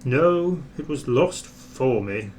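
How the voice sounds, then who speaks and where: A man's voice: medium pitch, animated, takes it slowly, darkish tone, a little hiss or hum. A man voicing a pompous, proud express engine. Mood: cross. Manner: pompous, condescending. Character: boastful, proud big male express steam engine.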